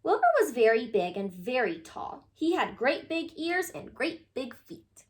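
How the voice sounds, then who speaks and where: A woman reading a children's picture book aloud in an animated, expressive way, addressing young listeners. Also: high voice, a little slow.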